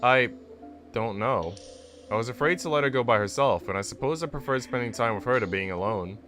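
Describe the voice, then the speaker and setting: A man's voice: medium pitch, fairly quick, natural voice. Man reading as reflective young woman, music behind. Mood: reflective, uncertain. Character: young woman narrator, reflective and uncertain, visual novel. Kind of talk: reading visual novel narration aloud.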